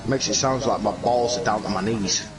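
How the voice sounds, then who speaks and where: Croaky-voiced man calling a sim race. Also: mid-range voice, fairly quick, music in the background, poor audio. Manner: chatty, excitable, jokey. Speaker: sim racing league commentator. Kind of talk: live esports race commentary.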